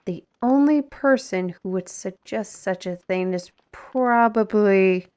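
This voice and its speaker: A woman plays a young fantasy artist with a defiant streak. Her voice sits at a middling pitch and her delivery is sarcastic and pointed, in the manner of an audio drama heroine. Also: slow, a little mellow, natural voice. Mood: wry. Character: defiant, sardonic young female artist steward in a fantasy world.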